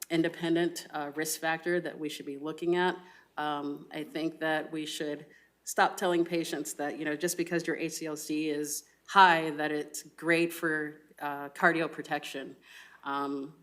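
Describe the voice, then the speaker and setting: A young woman resident physician giving a medical lecture and answering audience questions with measured, thoughtful replies full of ums and uhs. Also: low-pitched. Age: young adult.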